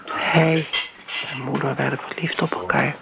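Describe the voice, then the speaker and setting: Een actrice die in een hoorspel een verbitterde, scherpe volwassen nicht speelt, bot, spottend en verwijtend. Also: female voice, a bass voice, fairly even intonation, a little slow, vocal fry, full character voice. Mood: accusing. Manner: blunt, sardonic, accusing. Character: bitter, sharp-tongued grown niece, imperious and mocking. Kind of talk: radio play acting. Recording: tinny, narrow old radio recording, hiss.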